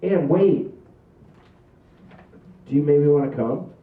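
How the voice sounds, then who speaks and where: A man's voice: on the high side, takes it slowly. A man reading the part of an awkward, hesitant teenage boy at a comedy script table read. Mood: awkward, hopeful. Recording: muffled, echoey, far from the mic.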